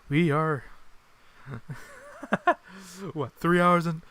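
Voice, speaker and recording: Soft-spoken man streaming a game. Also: on the high side, huge pitch swings, very slow, slight background noise. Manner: soft-spoken, hesitant, many fillers. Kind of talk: casual live-stream chat while playing. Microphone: desk microphone on a computer.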